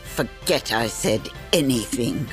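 A woman's voice: a bass voice, animated, slow, soft background music, full character voice. A woman playing a cantankerous old crone who narrates a fantasy tale in pompous, wordy phrases. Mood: haughty, vexed. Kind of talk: theatrical storybook narration.

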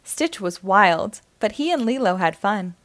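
A woman reading a children's storybook aloud in an expressive, animated storytelling style. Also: medium pitch, a little slow, no echo. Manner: animated, acting out dialogue.

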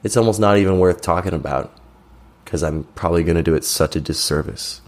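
A man's voice: low voice, fairly quick. A young man co-hosting a podcast, telling festival stories in a laid-back, joking way, his voice a bit strained. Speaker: podcast co-host. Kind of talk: casual chat.

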